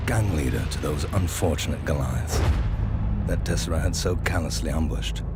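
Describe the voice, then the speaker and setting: A man playing a sly, self-serving underworld dealer who narrates a game's cutscenes in a wry, sardonic manner. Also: a bass voice. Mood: wry, scheming.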